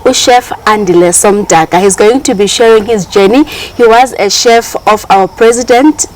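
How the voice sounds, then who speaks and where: A woman's voice: mid-range voice, normal speed, darkish tone, low-quality recording. A woman with a South African accent hosting a casual interview, chatty and friendly. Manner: chatty, friendly, laughs easily. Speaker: host.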